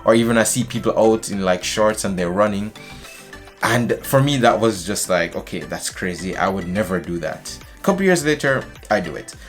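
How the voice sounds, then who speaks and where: Low-voiced young man from Jamaica. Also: moderate pace, faint music behind. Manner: chatty, animated with hand gestures. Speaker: vlogger. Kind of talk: casual vlog to camera. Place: small indoor room, decorated shelves.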